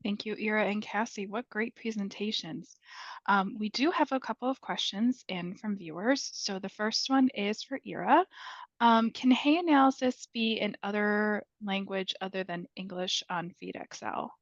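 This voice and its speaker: A woman's voice: mid-range voice, moderate pace, dry recording. A woman moderating a webinar, politely reading out viewer questions. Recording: dull lo-fi webinar audio.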